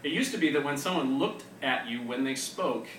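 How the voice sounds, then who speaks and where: A man's voice: mid-range voice, animated, a little fast, a bit bright. A man with a wry, self-deprecating manner, hosting and reading aloud to a live audience. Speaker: co-host of a reading series, poet. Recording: echoey room, distant mic, noisy live audience.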